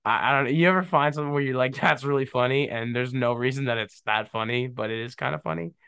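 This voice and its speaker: A man's voice: mid-range voice, fast, creaky, a bit bright, smooth, no echo, clean studio sound. A young man hosting a podcast, talking in a rambling, slangy way and laughing often, with a stuffed-up nose from a cold. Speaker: podcast host. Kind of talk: casual solo chat.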